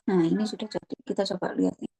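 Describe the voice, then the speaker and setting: A woman presenting a casual online tutorial, relaxed and chatty, often thinking aloud with fillers. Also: mid-range voice, quick pace, vocal fry, slightly rough, dry recording. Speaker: webinar presenter.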